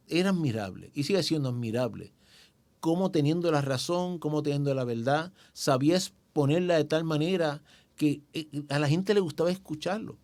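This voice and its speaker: A man's voice: fairly high pitch, a little mellow. A male pastor teaching a Bible study in a didactic, conversational way, speaking directly to his listeners.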